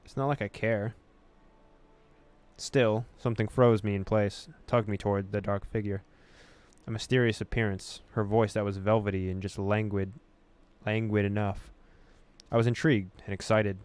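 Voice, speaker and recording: A man hosting a gaming video, reading game text aloud in an expressive storytelling way with casual, amused asides. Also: fairly low pitch, normal speed, clear, resonant tone.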